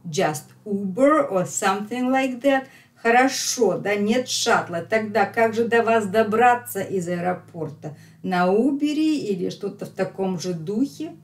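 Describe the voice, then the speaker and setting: A woman's voice: medium pitch, expressive. A middle-aged woman with a Russian accent teaching English, explaining line by line and repeating phrases emphatically for learners. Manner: slow, emphatic repetition for learners. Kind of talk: lesson explaining a phone call line by line.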